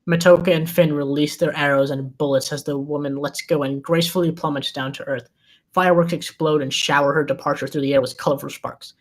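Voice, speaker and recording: A woman's voice: deep voice, steady tone, a little fast. A woman reading a script's scene descriptions aloud as narrator at a table read.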